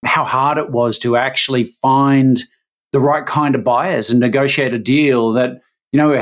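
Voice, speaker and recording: A man hosting a podcast in a mid-range voice. He talks casually, pausing on fillers and restarting his sentences. The tone is friendly and conversational. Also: medium pitch. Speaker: podcast host. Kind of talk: conversational interview.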